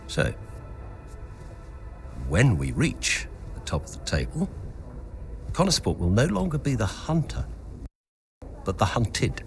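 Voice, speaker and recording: A middle-aged man playing a stern racing team owner in a game cutscene, speaking in a measured, deliberate, halting way. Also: fairly low pitch, a little hiss or hum, heavily acted. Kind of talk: acted cutscene dialogue.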